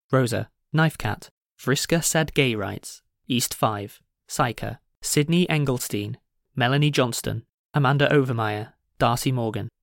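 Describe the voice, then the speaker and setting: A man's voice: medium pitch, no room sound, clean studio sound. A man reading scripted announcements as a podcast host.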